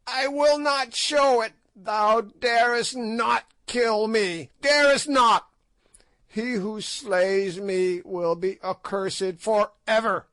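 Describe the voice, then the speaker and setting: A shrieking, cackling ancient witch woman, spiteful and defiant, as a male narrator puts her on. The voice leaps high and loud above the reader's own, and the recording is dry and close. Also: slow, no room sound, exaggerated voice. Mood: desperate.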